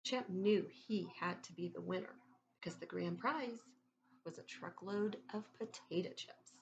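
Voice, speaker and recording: A woman reading a children's story aloud in an expressive, deliberate teacherly way, pausing to put questions to her listeners. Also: fairly low pitch, vocal fry, highs cut off, slight background noise.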